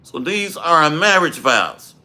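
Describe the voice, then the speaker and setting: A man teaching a livestreamed Bible lesson with a dry throat, clearing it often and preaching in a measured way. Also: fairly high pitch, big pitch swings, bright, forceful tone. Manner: slow, measured preaching with Hebrew phrases. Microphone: livestream microphone.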